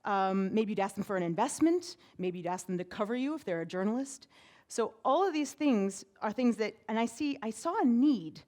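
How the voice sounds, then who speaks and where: A woman with a big, carrying voice addresses a conference audience from the stage. Her delivery is energetic and conversational, with frequent "right?" checks to keep listeners with her. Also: mid-range voice, moderate pace. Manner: energetic, chatty, uses many "right?" tags. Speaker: conference speaker, PR firm president. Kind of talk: conference talk.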